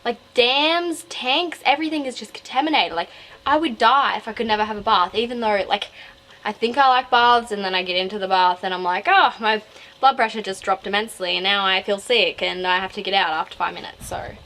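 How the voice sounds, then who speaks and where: A woman's voice: medium pitch, brisk, sharp tone, rough recording. A young woman with an Australian accent, talking casually and digressively to camera as a book review vlogger. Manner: rambling, digressive, self-interrupting.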